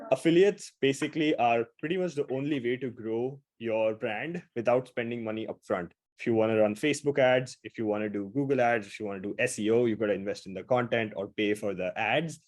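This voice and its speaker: A man's voice: medium pitch, darkish tone. Upbeat Indian man presenting on a call. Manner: upbeat, quick and casual, with frequent fillers. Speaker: webinar presenter. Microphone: video call.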